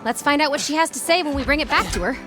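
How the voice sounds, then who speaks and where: An eager young heroine from an animated game. Her voice is high and swings expressively as she chirps and wisecracks with open curiosity. Loud music plays behind her. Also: rapid-fire. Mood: upbeat. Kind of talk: animated game cutscene acting. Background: loud game score.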